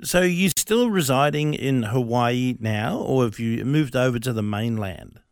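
A man's voice: medium pitch, expressive, measured pace, creaky, smooth, dry recording. A man hosting a radio interview in a chatty, friendly way. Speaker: radio show producer and stand-in host.

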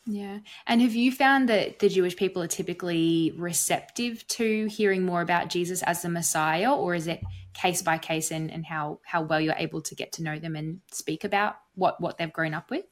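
A woman's voice: on the low side, moderate pace. A woman hosting an interview show, introducing her guest and posing long questions in a conversational way.

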